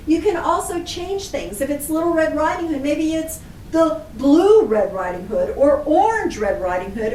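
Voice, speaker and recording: A woman's voice: sits high, a little mellow. A woman instructor giving an explanatory lesson for children, listing examples one word at a time. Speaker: library class instructor. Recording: echoey room, distant mic, faint noise.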